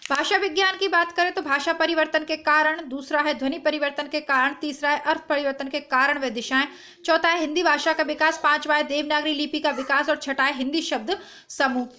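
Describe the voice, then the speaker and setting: A woman teacher with a strained, hoarse voice, chatting to her students through an exam syllabus, recorded at home without a proper mic. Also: high voice, steady tone, brisk, pushed and bright. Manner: chatty, reassuring, addresses students directly. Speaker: Hindi exam-prep teacher. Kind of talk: informal lesson to camera. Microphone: no proper mic, phone or device built-in.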